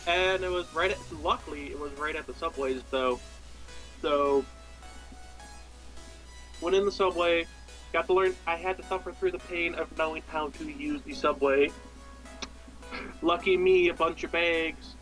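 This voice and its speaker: Young american guy, pretty high voice, rambling casual story, pokes fun at himself, music playing behind. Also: a bit bright, low-quality recording. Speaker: podcast storyteller. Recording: background music throughout.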